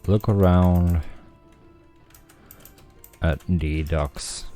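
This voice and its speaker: Man, extremely low voice, unhurried game commentary and read-aloud, faint music behind. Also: fairly even intonation, dark tone. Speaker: game streamer.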